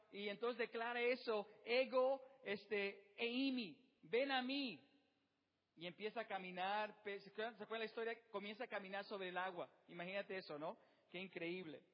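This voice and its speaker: A male pastor preaches from the pulpit in a high voice, tossing out rhetorical questions and frequent fillers. His voice rings through a hall with a long reverb tail. The recording sounds dull and muffled. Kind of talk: sermon.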